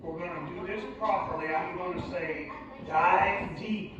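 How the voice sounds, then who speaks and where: A high-pitched male children's host leads an interactive lesson, prompting his young listeners as he goes. He sounds far from the mic in a very echoey room. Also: unhurried. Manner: prompting, instructive, addresses children directly. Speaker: children's church puppet show host playing submarine captain. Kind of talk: interactive children's teaching with call and response. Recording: echoey hall from far off, muffled, noisy.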